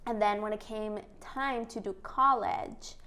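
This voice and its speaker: Laughing, animated woman from Mexico. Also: medium pitch, measured pace. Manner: animated storyteller, laughs often, quotes people in lively voices. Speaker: guest, entrepreneur. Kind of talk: casual interview chat.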